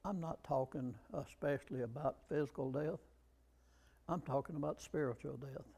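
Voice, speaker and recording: A man's voice: fairly high pitch, normal speed, gravelly, mellow, husky. A man preaching a sermon, emphatic and fond of rhetorical questions to the congregation.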